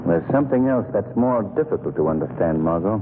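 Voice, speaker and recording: An actor playing a suave, composed gentleman sleuth, steady and protective, in a 1930s radio drama. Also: on the low side, a little fast, natural voice. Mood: grave, composed. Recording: scratchy, narrow-band 1930s radio recording.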